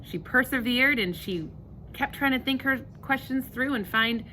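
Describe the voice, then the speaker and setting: A woman reading a children's picture book aloud with expressive, emphatic, rhythmic delivery of rhyming verse. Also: sits high, measured pace, slight background noise, poor audio.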